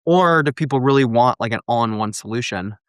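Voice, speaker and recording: A man's voice: medium pitch, a little fast, clean tone, no echo, clean studio sound. A man co-hosting a casual podcast, thinking aloud and saying "like" a lot. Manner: thinking aloud, heavy use of "like". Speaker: co-host.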